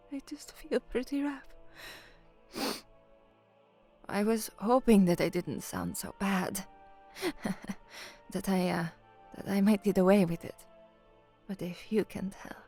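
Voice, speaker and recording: A young woman newsreader in an audio drama. She is anxious underneath and works at sounding composed, with a mid-pitched voice. Music plays along behind her. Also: measured pace, long pauses, warm tone. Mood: rough, affectionate. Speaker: radio newsreader and narrator. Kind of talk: audio drama dialogue, narration and formal newsreading.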